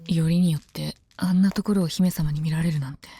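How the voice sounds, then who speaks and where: Calm, low-voiced lady knight, acted by a woman. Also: fairly quick, husky. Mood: guarded, surprised. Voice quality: calm, composed. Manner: polite, deferential, soft-spoken. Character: female knight of common birth, composed, devoted. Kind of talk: Japanese voice drama, intimate scripted dialogue.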